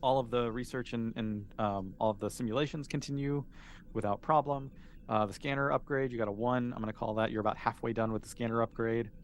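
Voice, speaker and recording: A man's voice: medium pitch, steady tone, brisk. Man running a tabletop game, noisy recording. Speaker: game master. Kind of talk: casual narration of a tabletop roleplaying game. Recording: noticeable background noise.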